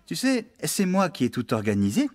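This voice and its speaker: Ouvrier de ferme jovial, voix très modulée. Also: male voice, a little high, wildly swooping pitch, normal speed, darkish tone. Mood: pleased. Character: friendly, easygoing adult male farmhand. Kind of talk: children's cartoon dub acting.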